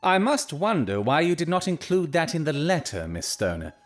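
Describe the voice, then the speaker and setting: A proud, brilliant Victorian gentleman detective in an audio drama. His speech is formal and eloquent, with theatrical, emotional swings in pitch. Faint music sits behind the voice. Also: very animated, moderate pace, dry recording. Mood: courteous, probing.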